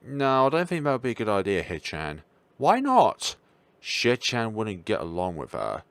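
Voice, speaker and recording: A man's voice: on the low side, wildly swooping pitch, normal speed, dry recording. A man voicing a bubbly, chatty teenage schoolgirl from a visual novel in a playful sing-song manner. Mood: evasive.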